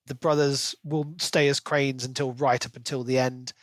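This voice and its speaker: A man's voice: mid-range voice, flat delivery, moderate pace, dry recording. A man co-hosting a podcast, chatting casually and giving opinions about a book. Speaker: podcast co-host.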